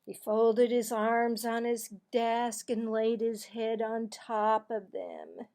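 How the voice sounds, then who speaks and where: A woman reading a children's story aloud in an expressive, deliberate way, with the odd stumble over words. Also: a little high.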